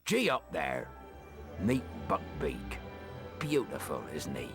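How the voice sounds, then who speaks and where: An actor playing a big, gentle gamekeeper who teaches about creatures in a video game, folksy and encouraging. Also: male voice, rumbling low, huge pitch swings, slow, over music, heavily acted. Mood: fond, encouraging. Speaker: teacher of a creature-care class. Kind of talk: video game character acting.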